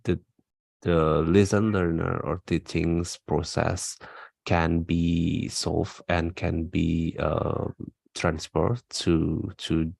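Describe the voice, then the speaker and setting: A man's voice: low-pitched, little pitch movement, extremely slow, creaky, a little mellow, no echo, studio quality. A man from Indonesia, a non-native English speaker, answering practice speaking test questions over a video call in a hesitant way, with many fillers and restarts. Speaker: IELTS speaking test candidate.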